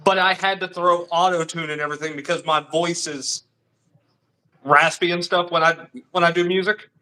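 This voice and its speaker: A young man talks as a guest on a casual livestream and tells his stories quickly and earnestly. His voice is high and bright. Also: fairly even intonation, low-quality recording. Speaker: guest musician.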